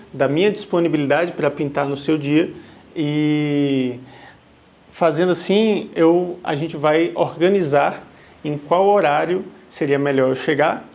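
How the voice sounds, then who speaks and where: A man's voice: fairly high pitch, creaky, darkish tone. A man from Salvador, Brazil, who works as a live wedding painter, answering viewer questions to camera in a friendly, reassuring way and often checking in with the viewer. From Salvador, Bahia, Brazil.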